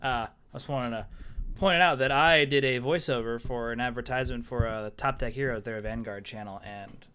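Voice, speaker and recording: Excitable man chatting at a game table. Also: mid-range voice, a little fast, a little hiss or hum. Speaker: player. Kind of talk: casual chat at a tabletop game.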